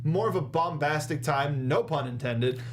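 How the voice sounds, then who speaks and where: Higher-pitched young man, casual co-host. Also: moderate pace, over a noisy crowd. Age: young adult. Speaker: co-host of a movie discussion show. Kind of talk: casual chat. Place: small indoor podcast studio. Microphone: close desk microphone with red foam cover.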